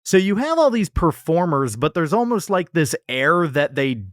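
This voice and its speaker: A man's voice: fairly high pitch, sing-song, moderate pace, no room sound, clean studio sound. A man co-hosting a podcast, giving a wry, descriptive review in casual, comedic conversation. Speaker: podcast co-host.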